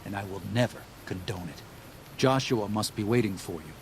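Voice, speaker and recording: An actor playing an earnest, weary pacifist missionary in a video game, moralising in a pleading manner. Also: male voice, on the low side, lively intonation, normal speed, slight background noise, natural voice. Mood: grim, resolute.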